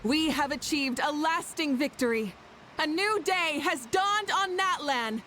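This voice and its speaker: A woman's voice: sits high, animated, unhurried, very noisy. A rousing, commanding female ruler. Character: confident female ruler addressing her people. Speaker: tournament host. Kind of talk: video game voice acting. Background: game music.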